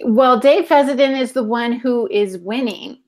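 A woman's voice: a little high, normal speed, darkish tone. A middle-aged woman co-hosting a casual live podcast over a video call. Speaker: podcast co-host. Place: indoor room at home, on a video call. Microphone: earphone headset on a video call.

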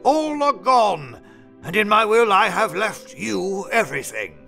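Elderly gentleman, kindly, lively intonation, bright tone, deliberate, audio drama. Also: high-pitched, vocal fry, exaggerated voice. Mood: fond, moved. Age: older. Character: kindly elderly English solicitor giving a heartfelt toast. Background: music.

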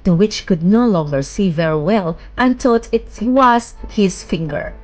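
A woman narrating a children's bedtime fairy tale in a measured, expressive storytelling manner. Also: medium pitch, faint music behind.

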